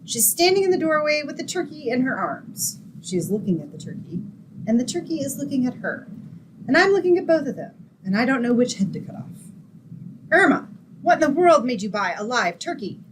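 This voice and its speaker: A woman's voice: high voice, sing-song, brisk, noisy background. A woman playing a sensible, long-suffering young roommate in an old-time radio comedy, with a dramatic, wry manner. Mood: wry narrating.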